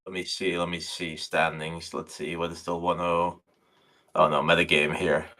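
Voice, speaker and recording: A man's voice: low-pitched, flat delivery, normal speed, sharp tone. A man co-commentating a card game tournament stream, chatty and thinking aloud as he reads the board.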